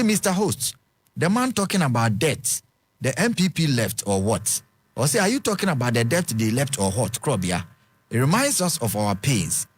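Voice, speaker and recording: A man's voice: mid-range voice, slight background noise. Ghanaian man reading listener messages. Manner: reads messages briskly, mixing English with Twi. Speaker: radio show host. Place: radio studio.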